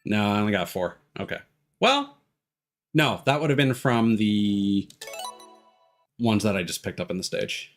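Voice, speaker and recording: A man's voice: lowish voice, very animated, measured pace, long pauses. A man doing casual, rambling livestream commentary over a video game, thinking aloud as he goes. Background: music behind the voice.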